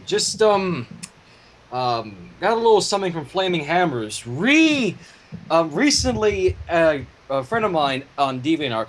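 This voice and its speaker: A man's voice: a little high, slight background noise, poor audio. A man chatting casually on a livestream over an online voice call. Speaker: animator and livestream guest.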